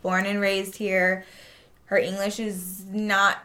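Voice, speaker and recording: A young woman from Japan talks as a guest in a casual conversation. Her voice sits at a middle pitch. She is animated, slipping into imitations of people when she quotes them. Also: slow. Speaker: interview guest.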